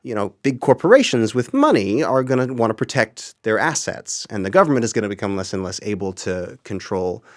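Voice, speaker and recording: A young man with a fairly low voice talks as an interview guest. He explains things in an articulate, conversational way with a wry edge, recorded dry and close. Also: very animated, brisk, few pauses, no room sound. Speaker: interview guest, audio drama creator.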